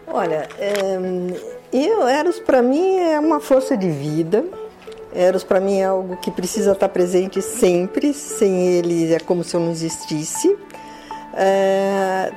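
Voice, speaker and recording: A woman in her seventies speaking calmly and reflectively as a guest in a group radio talk. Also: medium pitch. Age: 70s.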